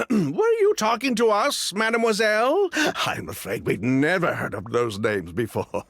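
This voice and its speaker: A slick game-world merchant with something to hide. His pitch swings widely through oily courtesies and condescension, theatrical throughout. The sound is close and dry. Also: sing-song, measured pace, gravelly, fairly bright tone, dry recording, exaggerated voice. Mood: feigned politeness, condescending. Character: smooth-talking, haughty, defensive merchant brother with a shady past. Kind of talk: scripted video game dialogue.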